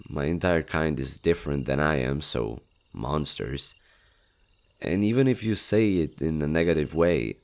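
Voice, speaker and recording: A man voicing a shy, gentle skinwalker monster in an audio roleplay, speaking hesitantly with innocent curiosity about humans. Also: low-pitched, vocal fry, exaggerated voice. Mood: earnest, gentle. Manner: hesitant, halting, innocent wonder. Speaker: voice actor. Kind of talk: intimate audio roleplay, one-sided dialogue.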